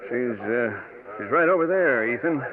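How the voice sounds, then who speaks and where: An actor playing a despondent, self-pitying hard drinker in a vintage radio drama. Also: male voice, mid-range voice, lively intonation, normal speed, natural voice. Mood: gloomy, confiding. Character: despondent, hard-drinking man trapped by unwanted love. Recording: tinny vintage radio, crowd behind.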